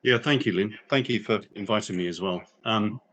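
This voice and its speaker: Male panel guest, low voice, thoughtful, halting delivery. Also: a bit bright. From not from New Zealand. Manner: many restarts and fillers, reflective. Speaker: guest speaker, business analyst. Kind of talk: casual panel talk. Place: New Zealand.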